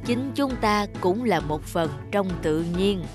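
A woman voicing a wise, patient old male tree spirit who teaches in a measured way in an animated fable. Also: medium pitch, music in the background. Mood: wise, solemn. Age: older. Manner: measured, didactic, gently instructive.

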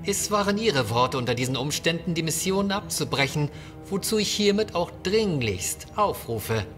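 A man's voice: on the high side, big pitch swings, normal speed, a bit bright, faint music behind. A man playing a cautious, lecturing ship's scientist in a sci-fi audio drama, speaking precisely and formally. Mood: grave, urgent. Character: cautious, pedantic male ship's scientist, urging retreat.

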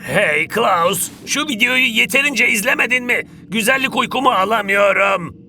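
A man's voice: sits high, expressive, normal speed, creaky, pushed and bright, slight background noise, exaggerated voice. A man voicing a vain, sceptical star footballer in a dubbed cartoon, theatrical and exasperated. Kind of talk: animated cartoon dub acting.

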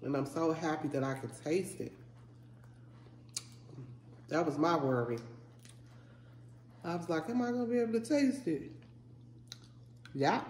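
A congested woman in her forties talks to her viewers between bites, relaxed and chatty, humming over her food. Her voice rings a little in a roomy space, with a faint hiss underneath. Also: deep voice, unhurried, pauses often. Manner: relaxed, chatty, savoring food with hums while eating. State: congested from a head cold. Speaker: mukbang vlogger. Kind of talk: casual eating video, talking to viewers. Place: living room, indoors. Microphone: fixed camera at table. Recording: echoey room with faint noise.

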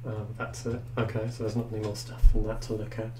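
A young man with a deep, low voice talks through a screen recording, thinking aloud with ums. His delivery is flat and unhurried. A steady hum and noise sit under the recording. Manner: hesitant, thinking aloud with fillers. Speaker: tech reviewer. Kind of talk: casual screen-recorded software review. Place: indoors at a computer. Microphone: desktop microphone.

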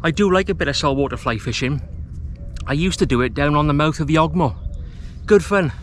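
A relaxed man in his thirties talks to a camera on a tripod while fishing from a rocky seawall, his voice a touch higher than most men's. He chats easily about what he sees on the water, recorded outdoors with a faint outdoor hum behind him. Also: fairly quick. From Wales. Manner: relaxed, chatty, commentating on his fishing as it happens. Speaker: fishing vlogger. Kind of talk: casual outdoor vlog talk to camera. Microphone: camera on a tripod at a short distance.

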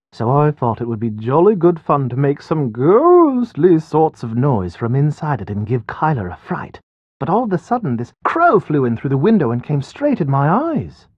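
A man's voice: a little high, breathy edge, no room sound, highs cut off. An actor voicing a flippant, nearsighted, hungry Englishman trapped underground in a video game, with a wry, wordy manner. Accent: British. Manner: wry, wordy, posh turns of phrase. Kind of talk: video game character dialogue.

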